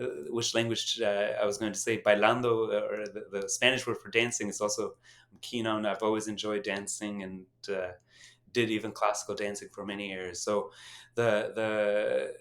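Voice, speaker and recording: A man's voice: lowish voice, a bit bright. A middle-aged man whose first language is Albanian, animatedly telling stories in a casual podcast chat with restarts and chuckles. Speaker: podcast host and company founder.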